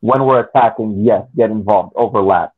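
A man's voice: on the low side, moderate pace, breathy edge. A man giving casual, explanatory soccer analysis as a podcast panelist over a video call. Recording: phone-like video call audio.

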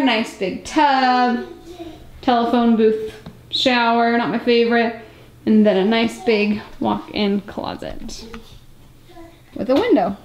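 A young woman chatting casually as she gives a tour of her family house, wistful and full of fillers. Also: a little high. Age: young adult. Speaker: family vlogger. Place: suburban family house, indoors. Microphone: handheld camera held close. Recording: echoey rooms, faint noise.

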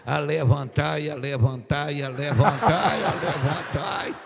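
A man voicing a cackling old country woman folk healer, chanting blessings and shouting exclamations on a live comedy stage. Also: a little high, slow, vocal fry, big-room sound, very noisy.

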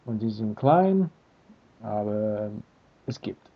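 A man's voice: on the low side, lively intonation, slow pace, husky. A man in his thirties from Australia, talking to his webcam in a small room, hesitant and searching for words with many fillers. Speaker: language learner vlogger. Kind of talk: casual talk to camera. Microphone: webcam built-in mic. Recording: tinny phone-like lo-fi sound.

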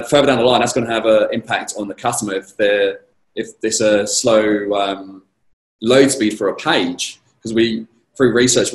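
A man with a slightly croaky voice answering questions as a guest speaker on a video call, measured and conversational. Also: fairly low pitch. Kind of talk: conversational conference Q&A. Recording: roomy echo on a video call.

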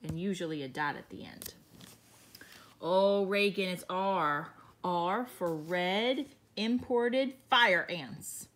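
A woman teacher reading a picture book aloud to young children, explaining each page in a gentle way. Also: lowish voice, takes it slowly.

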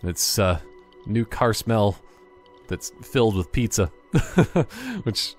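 A man's voice: on the low side, huge pitch swings, takes it slowly, faint music behind. A man giving casual, jokey gameplay commentary, thinking aloud and wandering off on tangents. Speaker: gaming YouTuber.

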